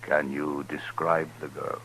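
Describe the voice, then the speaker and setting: Extremely low voice, dignified chief, grave, courteous, formal, 1950s radio drama, faint background noise. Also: male voice, level tone, slow pace, vocal fry, bright, forceful tone, heavily acted, rough recording. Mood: restrained grief. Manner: solemn, formal, sparing with words. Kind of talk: 1950s radio western acting.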